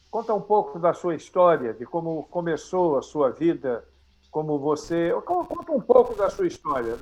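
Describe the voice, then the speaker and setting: A man's voice: a little high, muffled recording. A man who is a pastor, encouraging a guest to tell his life story. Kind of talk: interview prompting.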